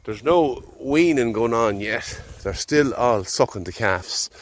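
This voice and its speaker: A man's voice: medium pitch, lively intonation, slow. An Irish man farming, talking easygoing and rambling out in a field while fighting off a head cold. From Ireland. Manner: easygoing, rambling, thinking aloud. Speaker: farmer. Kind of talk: casual vlog narration. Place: outdoors in a field.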